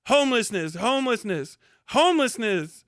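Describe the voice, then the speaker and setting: A man's voice: very high-pitched, very animated, normal speed, a bit bright, no echo, clean studio sound. A man hosting a livestream with casual reaction commentary, talking and reading aloud in a blunt, mocking, profane way. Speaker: livestream host.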